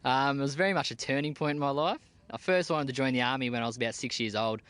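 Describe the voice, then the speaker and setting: A man's voice: mid-range voice, fast, a bit bright, clean tone. A young Australian man, an army team leader, answering interview questions in a plain-spoken, casual way with frequent fillers.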